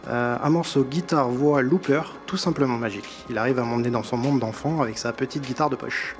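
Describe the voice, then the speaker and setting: A man's voice: mid-range voice, brisk. A man with a slight accent in French, giving an enthusiastic, chatty music column on a live show. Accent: non-native accent in French. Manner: chatty, enthusiastic, laughs at himself. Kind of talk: casual live-show chronicle.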